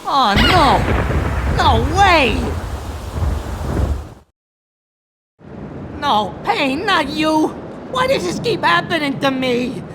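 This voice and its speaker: An actor playing a panicky small-time mobster in a video game, whining and pleading frantically. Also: male voice, very high-pitched, very animated, slow pace, noisy background, heavily acted. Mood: whining despair. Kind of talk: exaggerated game cutscene acting.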